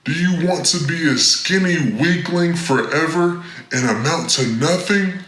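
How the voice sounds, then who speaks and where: Echoey, guy doing a strict mean storybook dad, talks slow n bossy, scolding. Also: on the high side, a bit bright. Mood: scornful, scolding. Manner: harsh, commanding, slow and deliberate. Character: stern, scolding, domineering adult father. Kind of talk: storybook character voice. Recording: echoey room.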